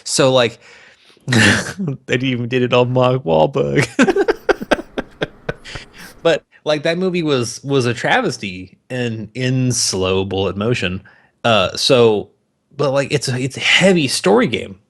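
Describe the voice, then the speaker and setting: A man co-hosting a podcast in casual, joking banter, animated and quick to swear. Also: mid-range voice, gravelly. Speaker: podcast co-host.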